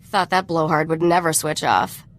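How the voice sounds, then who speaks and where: A woman's voice: deep voice, normal speed, a little hiss or hum, lightly acted. A woman playing a shrewd, self-assured young smuggler in a video game, cool and wry. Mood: persuasive.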